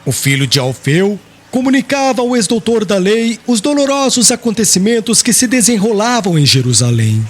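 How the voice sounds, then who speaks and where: A man's voice: high voice, very animated, normal speed, hardly any pauses. A man reading a religious audiobook as narrator, in a solemn, literary and carefully phrased manner. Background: music.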